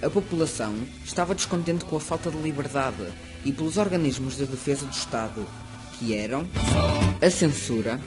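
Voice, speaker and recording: A man reading a school history presentation aloud, steady and prepared. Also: mid-range voice, normal speed, rough recording. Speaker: student narrator. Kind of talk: school project narration read aloud. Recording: music playing under the voice. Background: music.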